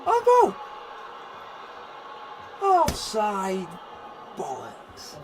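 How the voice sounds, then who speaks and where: A man with a slight Scottish twang hosting a live football watchalong stream, chatting in a rambling way and breaking into shouted cheers and swearing. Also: high-pitched, wildly swooping pitch, very slow. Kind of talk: casual live-stream chat.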